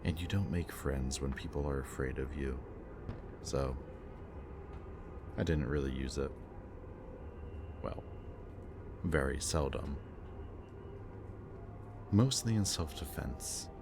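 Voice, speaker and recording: A man speaking a gentle, hesitant roleplay monologue to the listener as a soft-spoken villain. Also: a bass voice, slow, vocal fry, pauses often, noisy background.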